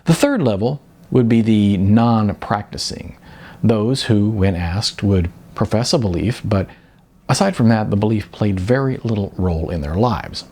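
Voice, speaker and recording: A man reading aloud from his own novel in an unhurried, expressive storytelling manner, with wide swings in pitch. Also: breathy edge. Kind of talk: audiobook-style novel reading.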